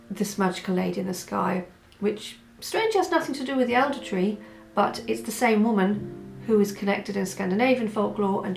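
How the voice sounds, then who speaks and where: A woman who is hoarse from a cold, vlogging in a chatty, rambling way with many asides. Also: mid-range voice. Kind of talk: casual chat. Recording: roomy echo with faint music.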